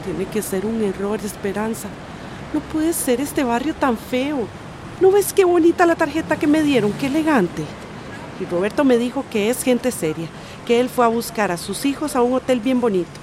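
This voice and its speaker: A woman's voice: a little high, expressive, normal speed, noisy background, natural voice. An actress playing a determined, hopeful emigrant mother in a radio drama. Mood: insistent.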